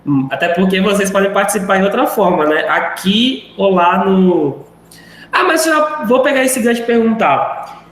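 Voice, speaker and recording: A man's voice: sits high, animated, normal speed, a bit bright. A male teacher wrapping up a live online class in a chatty, rambling way. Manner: rambling, chatty, self-deprecating. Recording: very echoey room, faint noise.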